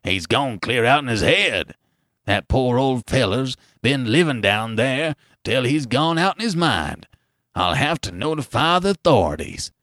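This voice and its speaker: A man doing a forceful, folksy American farmer. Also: medium pitch, lively intonation, measured pace, dry recording, clean studio sound. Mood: concerned. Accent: rural American. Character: solid, pursy, astonished farmer with a hard red face.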